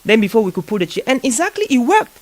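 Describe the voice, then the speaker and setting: A man's voice: extremely high pitch, big pitch swings, very fast. A man with an African accent hosting a conversational interview, speaking earnestly and repeating phrases for emphasis. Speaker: podcast host.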